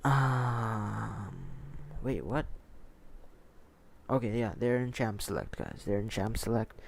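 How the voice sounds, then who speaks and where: A man's voice: on the low side, slow pace, vocal fry, slight background noise. A man who is not a native English speaker hosts a gaming livestream, chatting casually and repeating himself as he comments on matches. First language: a language other than English, not Hindi. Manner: rambling, repeats himself, answers chat as he goes. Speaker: esports livestream host and commentator. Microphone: close microphone.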